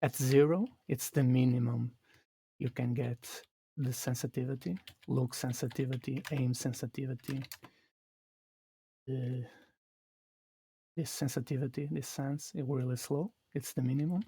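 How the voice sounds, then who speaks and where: A man from Portugal with a Portuguese accent, explaining his game live in a halting way that restarts phrases. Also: mid-range voice, fairly even intonation, creaky, dark tone, slightly rough, dry recording. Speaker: streamer. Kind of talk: live gameplay commentary.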